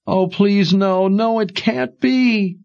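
A man's voice: flat delivery, measured pace, dark tone, no echo, dull audio. A man voicing an anguished young woman who pleads and wails through sobs over her dying mother. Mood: wailing. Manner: wailing, sobbing high pleas. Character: anguished young woman, grief-stricken daughter.

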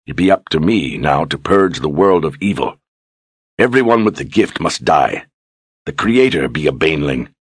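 A man's voice: a bass voice, expressive, normal speed, husky, no room sound, clean studio sound, heavily acted. A man voicing a pompous, zealous lord general who growls with rustic grammar. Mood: fanatical.

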